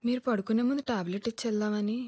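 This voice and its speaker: An actress playing a dutiful, respectful household maid in naturalistic film dialogue. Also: female voice, mid-range voice, fairly even intonation, fast talker, dark tone, breathy edge, no echo, close to an everyday voice.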